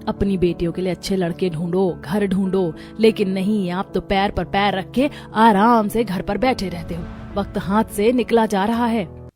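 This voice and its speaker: A woman acts as a middle-aged mother from a moral storybook tale. She is worried and scolding, with an earnest, admonishing delivery. Her mid-pitched voice sits over soft music. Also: steady tone, normal speed, lightly acted. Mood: worried, exasperated. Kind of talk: Hindi moral story voice acting.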